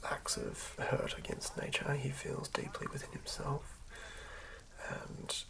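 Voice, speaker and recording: A person whispering softly in an ASMR style, narrating a tabletop character build in a breathy, creaky hush. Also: mid-range voice, wildly swooping pitch, slow pace, crowd noise. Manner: hushed whispering. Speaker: ASMR creator.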